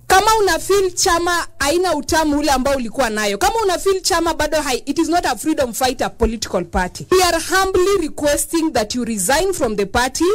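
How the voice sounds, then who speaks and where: A woman, a party youth leader, giving a press statement as a forceful, pleading appeal. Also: high voice, very animated, fast, hardly any pauses, no echo.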